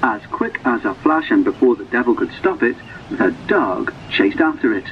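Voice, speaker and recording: A man's voice: medium pitch, lively intonation, normal speed. A man narrating a folk tale as a dramatic storyteller. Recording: tinny phone-like distant recording, faint noise.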